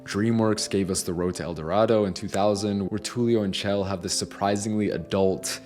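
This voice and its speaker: Earnest young man narrating, low voice. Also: steady tone, moderate pace, a little mellow, faint music behind. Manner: earnest, nostalgic and opinionated. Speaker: video essayist. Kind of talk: scripted video-essay narration.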